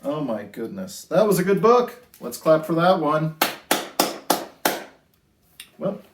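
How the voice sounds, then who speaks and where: A man hosting a children's storytime, reading picture books aloud in an expressive, sing-song way with drawn-out phrases. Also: fairly high pitch, background noise. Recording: roomy, echoey indoor sound.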